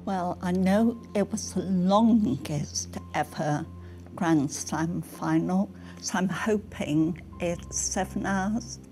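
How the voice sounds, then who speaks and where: A woman's voice: lowish voice, slow pace, warm tone, faint music behind. A woman on a quiz panel, reasoning out her answers.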